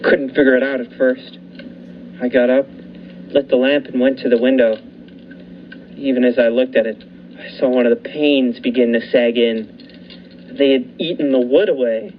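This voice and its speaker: A young man narrates a radio thriller in the first person, keeping a steady pace as he builds the tension. His pitch sits in the middle range. The recording is dull, with a faint hiss behind it. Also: moderate pace, long pauses. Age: young adult. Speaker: narrator and lead actor.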